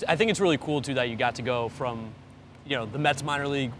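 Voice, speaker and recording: A man's voice: mid-range voice, fast, a bit bright, a little hiss or hum. A man hosting a casual sports podcast in an upbeat, informal way. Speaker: podcast host.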